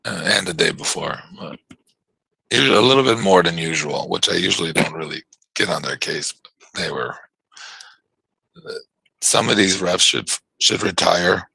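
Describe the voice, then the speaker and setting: A man hosting a live trading chat on a video call, his voice worn from yelling, rambling and stammering through repeated words. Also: sits low, lively intonation, normal speed, long pauses, no room sound, low-quality recording. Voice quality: gravelly and husky. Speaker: trading show host.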